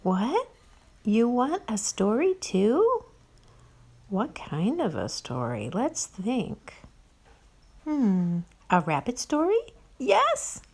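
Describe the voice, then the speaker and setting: A woman reads a picture book aloud to small children. Her pace is slow and her pitch very lively, with an expressive, playful storyteller's delivery. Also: breathy edge. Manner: expressive, addresses listeners playfully.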